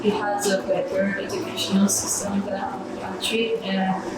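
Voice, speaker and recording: A woman from Albania answering interview questions haltingly, sometimes getting stuck on words. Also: medium pitch, monotone. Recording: very echoey with music bed.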